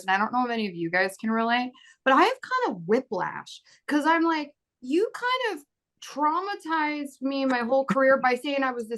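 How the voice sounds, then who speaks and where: A woman in her thirties who grew up in Bahrain, speaking as an actor on a panel in an animated, casual way, laughing often and acting out quoted dialogue. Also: fairly high pitch.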